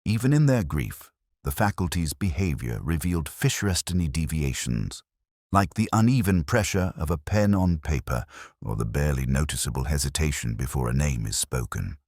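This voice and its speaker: Very deep-voiced man narrating a detective story. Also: expressive, a little mellow, no echo, clean studio sound. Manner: measured, literary, contemplative delivery. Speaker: audiobook narrator. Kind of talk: scripted first-person detective story narration.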